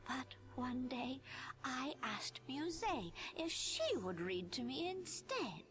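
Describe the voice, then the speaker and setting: A woman voicing a gentle, wistful blind old grandmother in a video game, speaking softly and kindly in a measured way. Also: high-pitched, big pitch swings, heavily acted.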